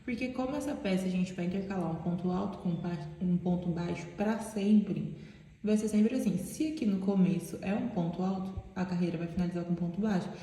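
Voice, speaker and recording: A woman's voice: on the low side, brisk, warm tone. Lady teaching crochet, stuffy nose, nasal voice, very echoey room. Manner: chatty, explains step by step, asks "né?" and "tá?". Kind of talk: casual tutorial talk. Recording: very echoey room with long reverb.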